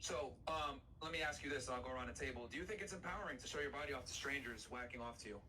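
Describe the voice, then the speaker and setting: A man's voice: racing, slight background noise, poor audio. A man hosting a panel podcast who questions guests with teasing, mocking remarks. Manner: mocking, teasing, shouts "haram" in a high voice. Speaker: podcast host.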